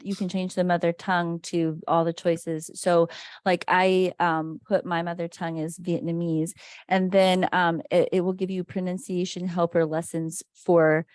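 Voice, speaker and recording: Low-voiced woman, dry close sound. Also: level tone, moderate pace, smooth, no room sound. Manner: helpful, explaining software tips. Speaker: adult ESL teacher. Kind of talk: casual discussion among teachers.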